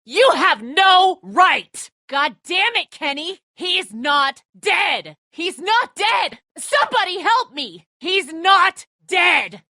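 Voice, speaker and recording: Distraught, hot-tempered woman, very piercing pitch swings. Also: very animated, takes it slowly, hardly any pauses, pushed and bright, dry recording, clean studio sound, full character voice. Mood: frantic, furious. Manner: raw, emotional, confrontational, sweary. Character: hot-tempered, distraught, bitter grown daughter in a crisis. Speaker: video game voice actress. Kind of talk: dramatic video game acting.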